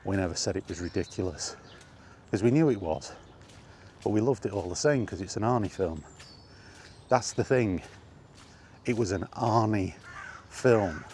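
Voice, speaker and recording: Male vlog host, deep-pitched, conversational and casual, talking straight through with asides, faint ambient noise in the background. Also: lively intonation, normal speed, darkish tone. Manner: conversational, rhetorical questions and asides. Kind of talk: casual film chat monologue.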